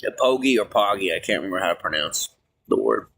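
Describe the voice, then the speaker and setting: A man's voice: sits low, sing-song, fast, creaky, fairly bright tone, husky. Man rambling to his livestream chat. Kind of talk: casual rambling chat, reading and answering messages.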